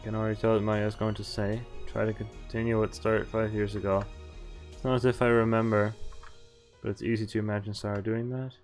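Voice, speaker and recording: A man's voice: fairly low pitch, little pitch movement, brisk. Man streaming a game over music. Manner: casual, sweary, incredulous asides. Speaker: streamer playing a visual novel. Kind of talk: reading game text aloud with live reactions.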